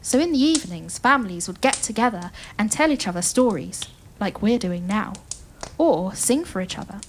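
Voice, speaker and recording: A woman's voice: mid-range voice, animated, moderate pace, a little hiss or hum, lightly acted, rough recording. A woman playing an enthusiastic storyteller in a family audio play, inviting listeners into an adventure with a sense of wonder. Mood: gentle, inviting.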